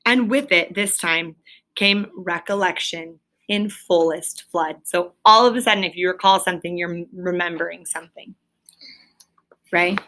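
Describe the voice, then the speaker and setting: A woman's voice: lowish voice, normal speed, fairly bright tone. A woman teacher reading a story aloud and explaining it to pupils, with an emphatic, questioning delivery.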